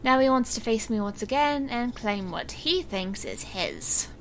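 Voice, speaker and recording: A resolute, guarded woman magician, played by an actress in an audio drama. Her voice sits a little high and she speaks in a grave, measured way. Faint noise runs under the recording. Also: lively intonation. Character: resolute, guarded woman stage magician facing her murderous birth father.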